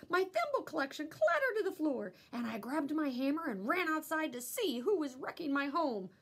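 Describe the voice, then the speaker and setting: A woman narrates a children's story as a teacher would, with expressive, engaged delivery. Her voice is high and very lively in pitch, with some creak and huskiness. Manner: animated, pausing to ask listeners questions. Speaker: elementary school teacher reading aloud.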